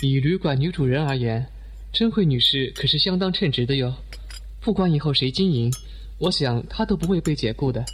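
A man playing a reserved, noncommittal young man with a husky voice in an audio drama. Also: fairly high pitch, expressive, brisk. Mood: calm, detached.

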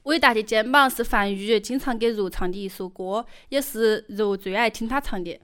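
声音清亮的重庆口音女旁白. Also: female voice, a little high, moderate pace, a bit bright. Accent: Chongqing. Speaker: narrator. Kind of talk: scripted audio drama narration.

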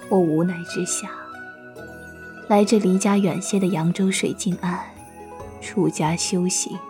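A woman acting a gentle, sorrowful Buddhist nun master, speaking in a measured, wistful way in a Chinese costume audio drama. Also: mid-range voice, natural voice.

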